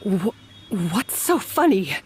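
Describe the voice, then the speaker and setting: A woman's voice: mid-range voice, very animated, takes it slowly, background noise. A woman playing a bitter, guilt-ridden young female chef in a video game, earnest and melodramatic. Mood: shocked. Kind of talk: dramatic video game voice acting.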